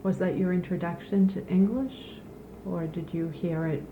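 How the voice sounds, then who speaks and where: Woman asking questions, low-pitched, thin phone-like audio with faint hiss. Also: steady tone, moderate pace, echoey room. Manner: brief questions and quiet back-channel murmurs. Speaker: interviewer. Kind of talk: oral history interview.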